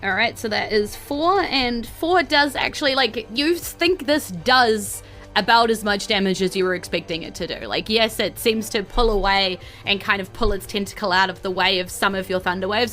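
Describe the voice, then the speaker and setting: Bright-voiced woman running a tabletop game. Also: mid-range voice. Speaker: game master. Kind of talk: casual tabletop game narration. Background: faint background music.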